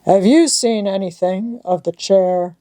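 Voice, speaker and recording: A woman reading a storybook as a gruff, capable old grandfather from the mountains, firm and decisive. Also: medium pitch, a little slow, dark tone, close to an everyday voice. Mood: scolding.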